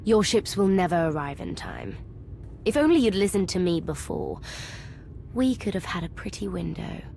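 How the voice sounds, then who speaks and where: An actress playing a haughty, scheming villainess in a video game, creaky and mockingly disdainful. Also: female voice, lowish voice, very animated, moderate pace, mellow, a little hiss or hum. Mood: smug.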